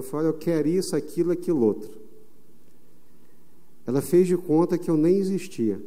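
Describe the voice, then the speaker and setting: A Brazilian man preaching a sermon as pastor, emphatic, stretching some phrases slowly. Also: medium pitch, warm tone. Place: church sanctuary. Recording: long reverb of a church hall.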